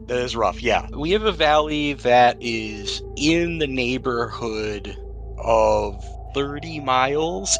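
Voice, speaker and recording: A man narrates a tabletop game as its game master. His delivery is casual and conversational, at an ordinary pitch, with faint music playing behind him. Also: fairly bright tone, poor audio.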